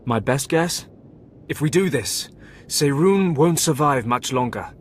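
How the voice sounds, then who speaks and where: An actor playing a remorseful keeper at a Sith academy, speaking in a measured, somber way. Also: male voice, mid-range voice, lively intonation, slight background noise, close to an everyday voice. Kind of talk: video game voice acting.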